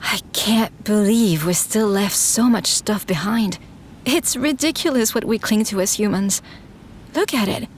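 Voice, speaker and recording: A woman's voice: medium pitch, measured pace, a little hiss or hum, natural voice. Woman acting a dry, skeptical space traveller. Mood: wry, musing. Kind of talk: scripted sci-fi comedy audio drama.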